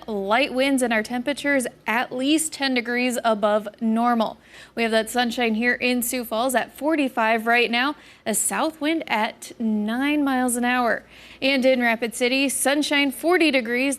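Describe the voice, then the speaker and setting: Higher-pitched American woman presenting weather. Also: a bit bright. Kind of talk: broadcast weather forecast.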